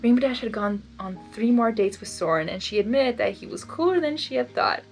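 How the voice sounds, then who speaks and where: Woman reader, unrehearsed story reading, fairly high and animated. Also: brisk, gravelly, faint music behind. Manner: stumbling, gushing asides. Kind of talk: blind reading of a fan story with commentary.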